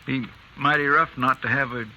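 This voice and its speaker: A homespun country deputy with a folksy drawl and a very bright, sharp voice. A faint hiss lies behind the old radio recording. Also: male voice, mid-range voice, fairly even intonation, normal speed, rough recording. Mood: homey. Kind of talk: 1950s radio drama acting.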